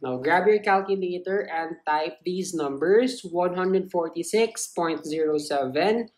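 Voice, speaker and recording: A woman with a low voice gives a step-by-step tutorial. She speaks slowly and explains things in simple phrases, as a tutor does. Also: darkish tone. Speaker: chemistry tutor.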